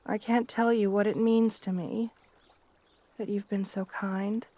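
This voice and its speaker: Woman acting a shy, slow-spoken young princess. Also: medium pitch, fairly even intonation, close to an everyday voice. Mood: earnest, demure. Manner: soft, hesitant, deferential. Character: shy, gentle, devoted young princess bride, eager to please. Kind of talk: roleplay ASMR acting.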